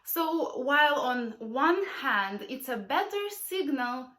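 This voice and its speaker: A woman's voice: high voice, expressive, takes it slowly, bright, forceful tone. A young woman with a cold, hosting a parenting channel and talking straight to her viewers in a friendly product review vlog. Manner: friendly, direct address to viewers. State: has a cold.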